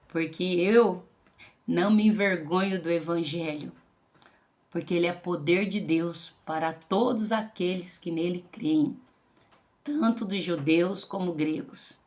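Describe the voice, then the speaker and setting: A Brazilian woman in her forties, a pastor, giving an earnest religious testimony to the camera and addressing listeners as brothers and sisters. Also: on the low side. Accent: Brazilian Portuguese. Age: 40s.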